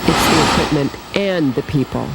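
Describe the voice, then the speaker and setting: Measured woman news reporter. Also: sits low, very noisy. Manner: measured, pointed delivery. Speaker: investigative TV reporter. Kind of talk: scripted news narration.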